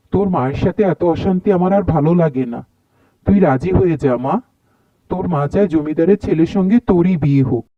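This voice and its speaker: A man voicing a rustic, soft-hearted middle-aged father in a cartoon, with a Bangladeshi accent and a pleading manner. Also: on the high side, dry recording, highs cut off, natural voice. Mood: weary, pleading. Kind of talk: Bengali cartoon voice acting.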